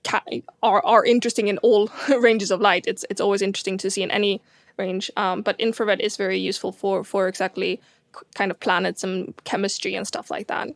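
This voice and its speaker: A woman's voice: mid-range voice, flat delivery, a little fast, no room sound. Chatty Swedish-accented woman, dry sound. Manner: enthusiastic, many fillers and restarts. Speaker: co-host, astronomer. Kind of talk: casual science chat.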